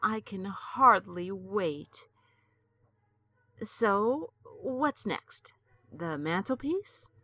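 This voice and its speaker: An actress playing an elderly grandmother and wife, gentle and earnest, in an audio drama. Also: medium pitch, lively intonation, very slow, dry recording, heavily acted. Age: older. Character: elderly grandmother, lonely and worried.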